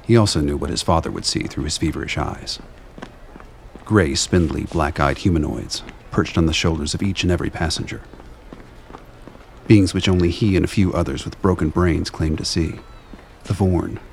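Very deep, gravelly male narrator. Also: moderate pace, slight background noise. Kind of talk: scripted dramatic narration for a radio play.

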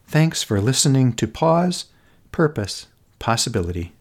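Podcast host: American male, middle-aged, mid-pitched and very expressive, contemplative scripted delivery, gentle and deliberate. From Minnesota, United States. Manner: contemplative, gentle, deliberate phrasing with emphatic repetition. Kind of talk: reflective scripted narration.

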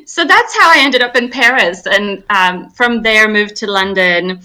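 A woman's voice: medium pitch, a little slow, poor audio. A woman, telling her story as an interview guest, laughing often and poking fun at herself. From Sweden. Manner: laughs often, animated and self-deprecating storytelling. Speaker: guest, arts nonprofit director. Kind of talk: casual interview chat.

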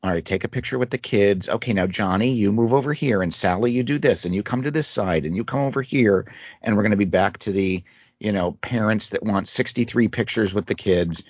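A man co-hosting a casual podcast, relaxed, acting out little scenes as he talks. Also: lowish voice, a little fast, husky, dry recording, highs cut off. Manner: relaxed storytelling, acts out scenes. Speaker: podcast co-host. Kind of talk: casual chat.

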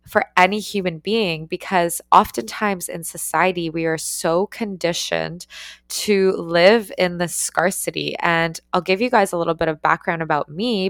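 A woman's voice: on the low side, fairly bright tone, dry recording, clean studio sound. A young woman hosting her own podcast, talking alone in an earnest, encouraging way and repeating words for emphasis. She was born in Ukraine and raised in Canada. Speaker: podcast host. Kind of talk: solo podcast monologue.

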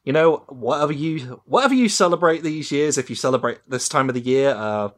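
Animated man chatting on a podcast. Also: mid-range voice, fairly quick. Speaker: podcast co-host. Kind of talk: casual podcast chat.

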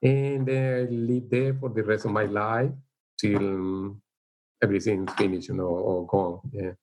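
A middle-aged man from El Salvador with a Salvadoran Spanish accent, speaking English as a second language in a casual interview, halting and unhurried, with frequent fillers. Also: lowish voice, level tone, darkish tone. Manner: unhurried, halting, with frequent "you know" and light laughs. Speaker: interviewee, teacher. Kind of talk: casual life-story interview.

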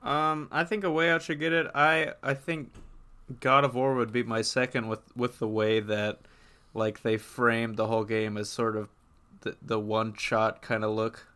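A man co-hosting a gaming podcast, chatting casually in an opinionated, halting way as he rephrases his thoughts. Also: medium pitch, flat delivery, sharp tone. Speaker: podcast co-host.

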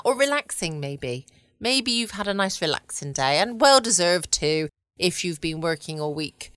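A woman hosting a relaxed late-night bedtime podcast, chatting from home. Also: on the low side, no room sound, clean studio sound. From United Kingdom. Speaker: podcast host. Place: at home, dry quiet room.

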